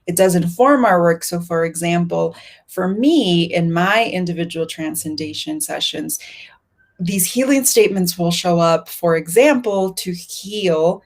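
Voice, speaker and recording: Soft low-voiced woman with Spanish roots. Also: unhurried. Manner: thoughtful, searching phrasing with restarts. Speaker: facilitator. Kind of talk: reflective conversation. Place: Mexico.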